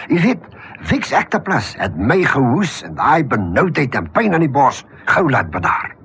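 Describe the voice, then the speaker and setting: A man's voice: a little high, a bit bright. A man giving a persuasive scripted testimonial as a radio advertisement presenter.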